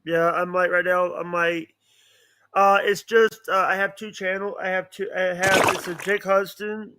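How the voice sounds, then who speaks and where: High-voiced man, bright and halting. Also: level tone. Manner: halting, repetitive, earnest. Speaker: podcast guest, online show creator. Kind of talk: casual chat.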